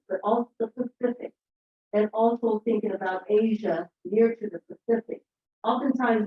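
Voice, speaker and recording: A woman in the audience giving an earnest, well-organized comment at a summit, point by point. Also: medium pitch. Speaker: audience member. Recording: echoey room, dull lo-fi sound.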